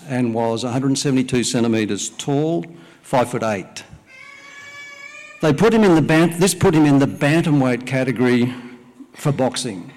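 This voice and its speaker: A middle-aged man from Wales, a native Welsh speaker, preaching a sermon and praying in a church service, speaking plainly with frequent "uh" pauses. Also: mid-range voice, darkish tone. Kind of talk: sermon with prayer. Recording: long hall reverb.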